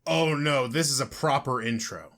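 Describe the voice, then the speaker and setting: A man's voice: mid-range voice, moderate pace, a bit bright. A man playing a tabletop game, eagerly describing his character's entrance. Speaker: player. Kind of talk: casual tabletop play.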